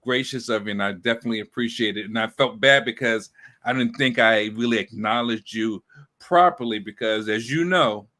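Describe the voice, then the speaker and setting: A man hosting a casual interview, with a bright, forward voice at an everyday middle pitch. He talks in a chatty, easygoing way and often trips through restarts and fillers before getting to his point. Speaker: host.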